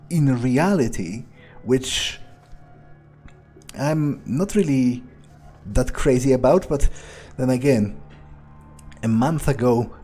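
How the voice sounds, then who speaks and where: Slow, mellow man musing aloud. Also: mid-range voice. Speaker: gaming video creator playing in character. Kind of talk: in-character game commentary, thinking aloud as the player character.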